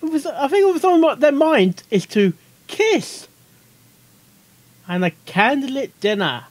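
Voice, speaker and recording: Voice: sits high, very animated, unhurried, no room sound. Casual, rambling reaction host, dry sound. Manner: rambling, repeats self, giggly.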